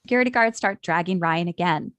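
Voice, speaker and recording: A woman's voice: lowish voice, quick pace, fairly bright tone, no room sound. A woman reading scripted stage directions as narrator at a table read.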